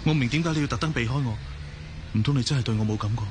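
A man's voice: medium pitch, racing, darkish tone, a little hiss or hum, natural voice. An actor with a Hong Kong Cantonese accent playing a headstrong, lovesick young man in a radio drama, urgent and impassioned. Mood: pleading. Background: music.

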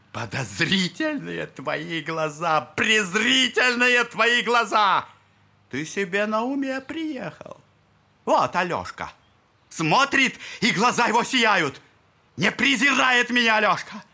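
Creaky, shrill, tipsy old father. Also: bright, forceful tone, heavily acted. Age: older. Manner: repeats himself, swings between wheedling and shrieking. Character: tipsy, suspicious, excitable, wheedling old father. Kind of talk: theatrical Russian audio drama acting.

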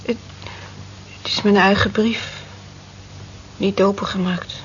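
A woman's voice: mid-range voice, steady tone, takes it slowly, lightly acted. An actress playing a lovelorn, weary cleaning woman in a radio drama, bitterly resigned, with clipped, dejected replies. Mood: uneasy. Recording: muffled, noisy old radio play recording.

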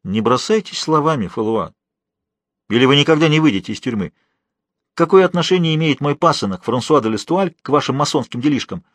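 Man playing a stern, scornful, husky nobleman. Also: fairly high pitch, animated, a little fast, no echo, studio quality, pitched above the performer's own voice. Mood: angry. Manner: commanding, scornful. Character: stern, proud, indignant nobleman interrogating a prisoner.

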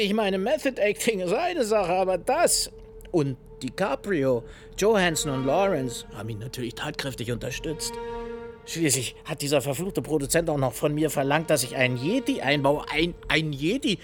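An actor in a radio drama playing a self-important, foul-mouthed film director, excitable and prone to stammering. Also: male voice, a little high. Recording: music behind the voice.

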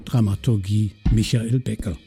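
Solemn guy narrating a radio play, super mellow dark voice, bit of music behind. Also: on the low side, steady tone, slightly rough. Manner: solemn, measured, emphatic pauses. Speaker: narrator and announcer. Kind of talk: scripted radio drama narration. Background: faint music.

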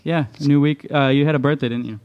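A man's voice: fairly high pitch, steady tone, quick pace, highs cut off, noisy background. A man co-hosting a radio show, joking and wisecracking in casual banter.

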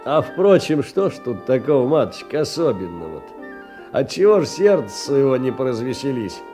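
A man acts out a poor, middle-aged clerk in a radio play, with music underneath. He sits at an ordinary male pitch and speaks effusively, humbling himself with courtly, tender old-world politeness. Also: very animated, normal speed, natural voice. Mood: rambling self-consolation. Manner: self-abasing, effusive, old-fashioned courtly address. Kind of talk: theatrical reading of letters in a stage radio play. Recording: music behind the voice.

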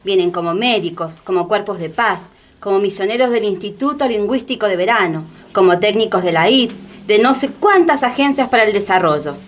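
A woman's voice: medium pitch, expressive, fairly quick, slight background noise, natural voice. A woman playing an impassioned, well-informed denouncer in radio drama dialogue, emphatic and rhetorical. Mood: scornful.

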